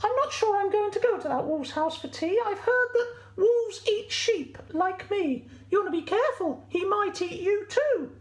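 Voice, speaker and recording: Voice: very high-pitched, animated, normal speed, few pauses, roomy sound, pitched above the performer's own voice, poor audio. A storyteller voicing a naive, anxious little female sheep with a hesitant, plaintive, stammering way of talking. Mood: worried, plaintive.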